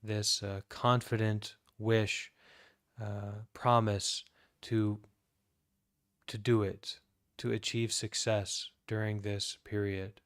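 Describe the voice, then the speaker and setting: An American man speaks as a meditation teacher in a smooth, even voice that stays within a narrow range. He is calm and deliberate, leaving long pauses between short phrases, and the sound is dry and close. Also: on the low side, no echo. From United States. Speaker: Buddhist monk leading guided meditation. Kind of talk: guided meditation talk.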